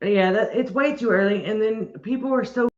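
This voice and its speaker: A woman chatting on a homestead livestream, rambling and friendly in tone, often restarting her sentences. Her voice sits at an ordinary pitch. The audio is roomy and a little dull. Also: brisk, a little mellow. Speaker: homestead channel co-host. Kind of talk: casual livestream chat.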